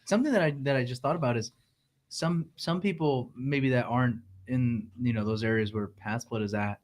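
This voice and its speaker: A man hosting a casual podcast, relaxed and chatty, dropping "like" and "right?" often. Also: mid-range voice, normal speed. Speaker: podcast host.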